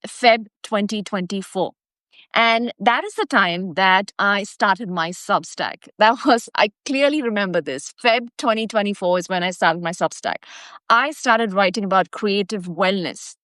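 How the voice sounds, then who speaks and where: Female live stream host, Indian accent, medium pitch, emphatic personal storytelling, very little room sound. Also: measured pace, a bit bright, no room sound. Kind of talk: personal storytelling talk to viewers.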